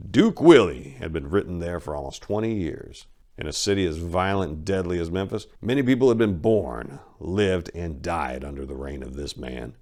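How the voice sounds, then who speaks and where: A man's voice: deep voice, a little mellow. A man narrating a crime story as a dramatic reading.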